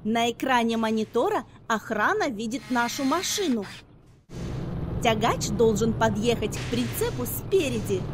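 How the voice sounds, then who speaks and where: A woman's voice: fairly high pitch, expressive, unhurried. A woman narrating a children's educational cartoon, explaining things simply in scripted storytelling. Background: background music.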